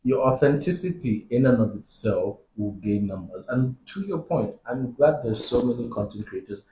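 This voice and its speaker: A man's voice: mid-range voice, lively intonation, moderate pace, mellow, slight background noise. A man hosting a podcast, chatting casually and reflectively, with short backchannel replies. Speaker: podcast host. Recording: roomy echo.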